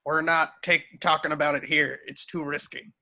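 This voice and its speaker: A man playing a gruff, commanding veteran wizard in an amateur script read, barking clipped orders. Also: fairly high pitch, level tone, normal speed, bright, forceful tone. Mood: irritated, wary.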